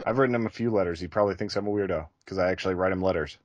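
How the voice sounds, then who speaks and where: A man with a low, deep voice banters casually as a podcast co-host. His remarks are wry, and the sound is close and dry with no room on it. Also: racing, no echo, lo-fi sound.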